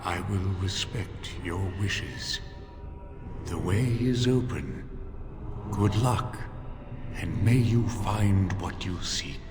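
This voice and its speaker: Ancient guardian spirit for a fantasy game: grave, measured, unhurried, ringing through a long hall over music. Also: male voice, deep voice, expressive. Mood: solemn, calm. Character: solemn ancient male guardian spirit of a holy shrine.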